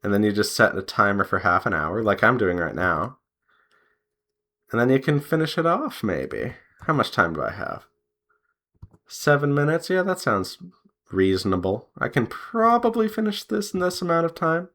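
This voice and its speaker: A man chatting casually as he draws, rambling in an unhurried way and pausing mid-sentence. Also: mid-range voice, very animated, a bit bright. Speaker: artist and devlog host. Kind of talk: casual chat while drawing.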